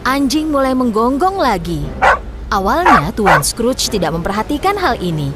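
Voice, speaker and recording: Lively woman narrating a children's story. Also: fairly high pitch, normal speed, loud music behind the voice.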